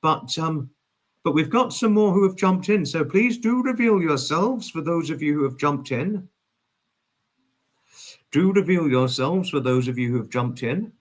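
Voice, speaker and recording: A man's voice: on the high side, lively intonation, measured pace. A man in his twenties chatting casually as a livestream host, reading and answering viewers, effusively polite and wordy, often repeating his phrases.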